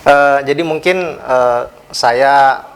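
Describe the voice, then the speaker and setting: A man's voice: medium pitch, takes it slowly, a little hiss or hum. A man from South Sulawesi, a guest on an interview show, speaking politely and measuredly with frequent hesitant fillers. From South Sulawesi, Indonesia. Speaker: guest, youth organisation official. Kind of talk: interview talk.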